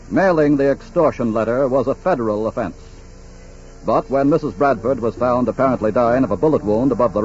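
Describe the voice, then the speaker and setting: A man narrating a scripted 1940s radio crime story in a formal, measured way. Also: medium pitch, darkish tone. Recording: muffled 1940s radio recording.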